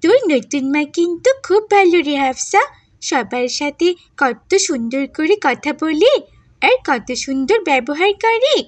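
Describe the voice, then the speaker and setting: A woman voicing a friendly, polite little village girl, a playmate in an animated moral story. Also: sits high, unhurried, no echo. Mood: friendly, chatty.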